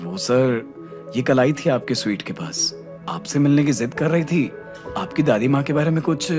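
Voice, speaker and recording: An actor playing a deferential, skeptical assistant in an audio drama, hesitant and doubting. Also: male voice, mid-range voice, animated, normal speed, music in the background, lightly acted. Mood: defensive. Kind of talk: Hindi audio drama acting.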